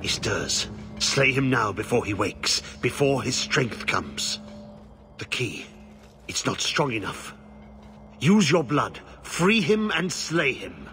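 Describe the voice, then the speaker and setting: An actor playing a weary, haunted old former commander in a fantasy video game, halting and cryptic in his urgency. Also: medium pitch, very animated, over music. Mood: fearful urgency.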